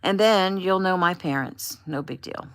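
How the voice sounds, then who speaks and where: A woman's voice: on the low side, measured pace, a bit bright, smooth, low-quality recording. A woman voicing a bubbly young woman who gushes while filming a live social media video. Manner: gushing, upbeat, chatty.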